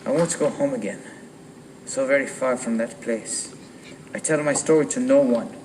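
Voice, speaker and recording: A man playing a haunted sailor, the lone survivor giving his grim testimony gravely, in halting phrases, in a radio play. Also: medium pitch, close to an everyday voice. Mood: weary, despairing. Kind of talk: dramatic first-person narration in a radio play. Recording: distant mic with loud background noise.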